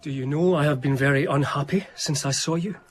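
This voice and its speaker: An actor playing an eager, earnest young eighteenth-century gentleman diarist in a radio drama, speaking in a formal, confiding, literary way. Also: fairly high pitch, brisk, a little hiss or hum, natural voice. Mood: wounded, pressing. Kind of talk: radio drama narration and dialogue.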